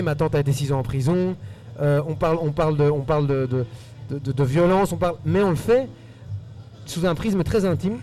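Fast-talking man with a Belgian French accent. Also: on the high side, mellow, dry recording, recorded from a distance. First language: Spanish. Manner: earnest, talks in rushes with repeated words. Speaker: musician guest. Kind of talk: casual interview answers.

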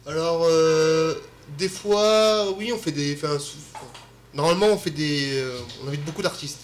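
A man's voice: fairly high pitch, a little slow, a little hiss or hum. A man from Occitanie, a party organizer and DJ, talking casually and hesitantly as a radio guest. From Occitanie, France. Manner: hesitant, lots of fillers, easygoing. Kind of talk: casual interview talk.

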